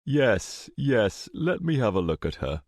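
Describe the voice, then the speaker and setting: A man's voice: fairly low pitch, sing-song, unhurried, no echo, studio quality, pitched above the performer's own voice, heavily acted. A man voicing a coolly calculating male villain in an audiobook, with formal, unruffled, conversational phrasing. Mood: brisk unconcern.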